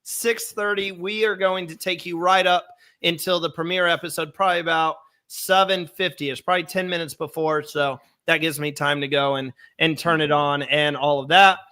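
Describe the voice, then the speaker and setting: A hoarse young man hosts a live podcast in a bright, fairly high voice. He stays chatty and upbeat and reads viewer comments aloud. The tone is forceful even while his voice is still worn from illness. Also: normal speed, few pauses. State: hoarse, recovering lost voice. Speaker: podcast host.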